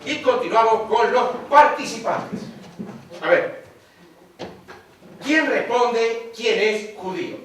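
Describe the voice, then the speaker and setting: A man playing a bright-voiced 1950s radio host. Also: very high voice, lively intonation, soft background music, exaggerated voice. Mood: ceremonious. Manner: brisk and ceremonious, calling on guests. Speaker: radio host figure in a stage play. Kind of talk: stage acting. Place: theatre stage. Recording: roomy, distant stage recording.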